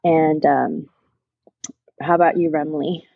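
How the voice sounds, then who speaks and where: A woman's voice: low-pitched, steady tone, slow, gravelly, no echo. A woman hosting a casual podcast conversation, putting questions to her guests, on a somewhat dull microphone. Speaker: podcast host.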